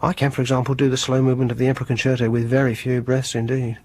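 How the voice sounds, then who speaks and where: A man plays a prim English music enthusiast of middle age in a radio chiller. His voice is mid-pitched and a little husky. He is over-polite and hesitant, picking at details with a pedantic, faintly sinister fussiness. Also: completely flat delivery, rapid-fire, a little mellow, lightly acted. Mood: prim, disapproving. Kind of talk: radio horror drama acting.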